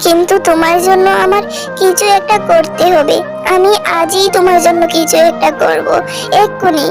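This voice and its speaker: A small, very high-pitched girl played by a woman from a fairy-tale cartoon. She is a young snake maiden who pleads tearfully and earnestly, with music clearly playing behind her. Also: fairly even intonation, moderate pace. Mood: tearful, worried.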